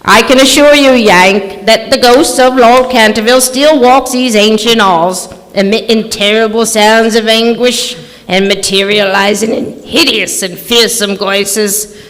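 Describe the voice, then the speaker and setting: A woman's voice: on the high side, steady tone, a little slow, a bit bright. Woman acting a theatrical housekeeper, echoey hall. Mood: ominous. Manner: theatrical, foreboding. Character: ominous English housekeeper of a haunted castle. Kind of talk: live old-time radio play acting. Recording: echoey hall stage recording.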